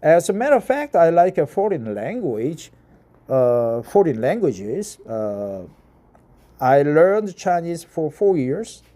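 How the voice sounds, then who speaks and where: Slow, mellow Korean-accented man. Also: on the high side, sing-song. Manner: halting, many fillers and restarts, asks "right?". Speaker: YouTube reader of articles. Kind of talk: reading an article aloud with commentary.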